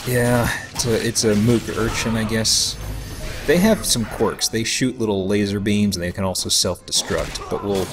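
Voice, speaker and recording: Low-voiced man rambling over game music. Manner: rambling, joking, halting asides. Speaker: let's play host. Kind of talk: casual game commentary. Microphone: voice-over microphone over game audio.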